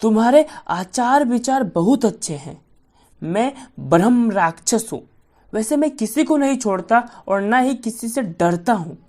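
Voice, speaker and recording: A woman's voice: fairly high pitch, animated, unhurried, warm tone. Woman voicing a boastful, menacing ancient male demon. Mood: proud, relenting. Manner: boastful, threatening declarations.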